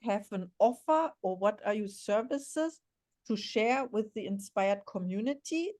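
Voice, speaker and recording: A woman's voice: medium pitch, expressive, measured pace, a little mellow. A woman whose first language is German, hosting a podcast interview in an enthusiastic, encouraging way. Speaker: podcast host.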